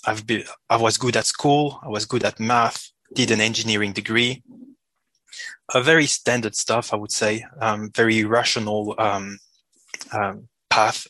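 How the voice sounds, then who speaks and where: A man's voice: on the low side, steady tone, unhurried, pushed and bright, no echo, poor audio. A native French-speaking man from Switzerland with a Swiss French accent and a slight cold, talking hesitantly as an interview guest. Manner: hesitant, self-correcting. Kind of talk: halting conversational interview talk.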